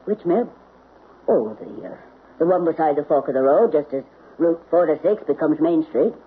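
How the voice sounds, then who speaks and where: An actor playing a nervous, evasive servant, hesitant and stammering, in an old-time radio drama. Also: male voice, a little high, lively intonation, normal speed, husky. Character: nervous, evasive servant afraid of his boss. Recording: tinny, hissy old radio recording.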